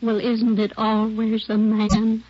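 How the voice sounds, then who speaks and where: A woman's voice: on the high side, monotone, takes it slowly, very noisy. An actress playing a prim, firm woman being questioned by police in 1940s radio drama, with precise, curt delivery. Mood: bitter. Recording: muffled vintage 1940s radio recording.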